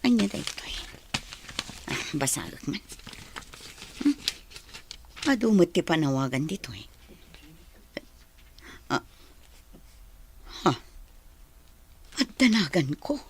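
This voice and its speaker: A woman's voice: lowish voice, very animated, takes it slowly, pauses often, slight background noise, full character voice. A woman with an Ilocano accent playing an earnest, homesick grown daughter in a radio drama, with sighing, emotional delivery. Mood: weary, homesick.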